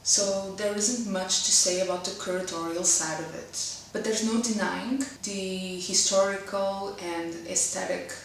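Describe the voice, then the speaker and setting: A woman's voice: medium pitch, fairly even intonation, measured pace, hardly any pauses, slight background noise. A woman in her twenties from Portugal with a Portuguese accent, English being her second language, hosting a casual web series and talking carefully with occasional hesitations. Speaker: host. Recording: roomy echo, mic at a distance.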